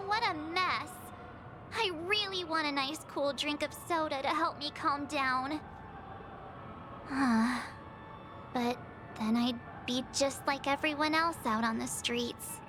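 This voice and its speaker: Very high-pitched, earnest young girl. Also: takes it slowly, pauses often, over music. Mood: wistful, fretful. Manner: earnest, girlish, wistful. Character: sweet, sensitive young girl, cheerful but fretful. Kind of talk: video game story dialogue acting.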